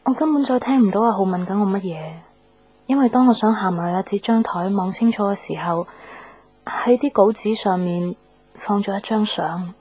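Mellow, wistful young woman, played by an actress. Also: mid-range voice, moderate pace, breathy edge, natural voice, rough recording. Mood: wistful, reflective. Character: wistful young woman still attached to old love. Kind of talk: Cantonese radio drama narration and dialogue. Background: background music.

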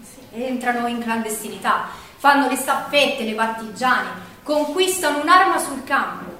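A woman giving a theatrical, declamatory narration in short measured phrases. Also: fairly high pitch, lively intonation, bright, forceful tone. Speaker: stage performer. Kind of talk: theatrical storytelling on the wartime Resistance. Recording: very echoey stage, distant mic, faint noise.

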